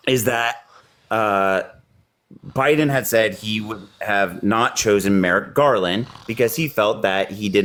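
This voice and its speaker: A man with a fairly low, slightly hoarse voice argues politics in a heated group call. He rambles and restarts, full of ums, and jabs at the others. His tone stays combative throughout. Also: a bit bright. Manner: combative, rambling, many fillers. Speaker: debate caller.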